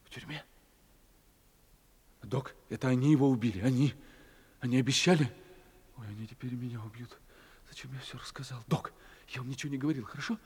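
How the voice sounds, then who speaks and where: A man's voice: medium pitch, brisk, long pauses, a little mellow, breathy edge. An actor playing a frightened, naive male prisoner who rambles and pleads his innocence in colloquial speech, in a dramatic theatrical style. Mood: panicked. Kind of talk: theatrical dramatic acting in Russian. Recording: long reverberant hall echo.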